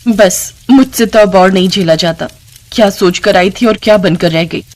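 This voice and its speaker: A woman's voice: mid-range voice, moderate pace, a little mellow, close to an everyday voice. A woman voicing a greedy, nagging, scheming village wife who can turn wheedling, in a narrated story. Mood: irritated. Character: sharp-tongued, greedy, scheming village wife and sister-in-law. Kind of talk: acted dialogue in a narrated story.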